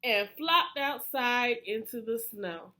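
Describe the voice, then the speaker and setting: A woman reading a children's picture book aloud in an animated way, pausing to ask questions of young listeners. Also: a little high. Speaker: read-aloud storyteller.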